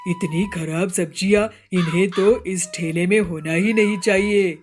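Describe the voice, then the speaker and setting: A woman's voice: fairly low pitch, steady tone, a little slow, a little mellow, soft background music, close to an everyday voice. A woman voicing a stingy, scheming male cartoon bird who talks in a bossy, self-satisfied, wheedling way. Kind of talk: cartoon voice acting.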